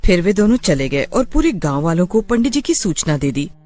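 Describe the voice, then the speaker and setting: A man's voice: high-pitched, very animated, brisk, a little mellow. A man narrating a scripted horror story in a dramatic storytelling style.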